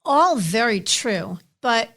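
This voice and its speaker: A woman's voice: on the high side, very animated, slow, fairly bright tone. A woman hosting an interview podcast, reading a scripted intro and asking polished questions. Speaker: podcast host.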